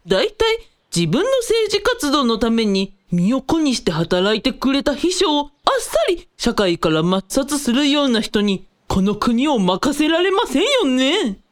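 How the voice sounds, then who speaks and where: A woman playing a flippant, wealthy male cult leader in a comic radio drama, with theatrical, over-polite speech and playful drawn-out exclamations. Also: sits high, big pitch swings. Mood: playful, smug.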